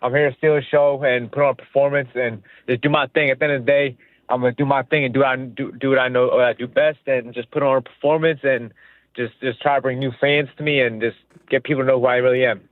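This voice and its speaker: A young man from Pakistan talks quickly and casually over a narrow, phone-like line. He sounds dry and close, and he often circles back and repeats his points with easy confidence. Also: medium pitch, fairly even intonation, no room sound. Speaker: boxer guest. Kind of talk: phone interview.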